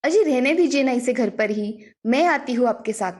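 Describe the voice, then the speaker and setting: A woman's voice: high-pitched, expressive, normal speed, a little mellow. A woman voicing a caring, anxious village mother in a children's cartoon story. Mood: placating.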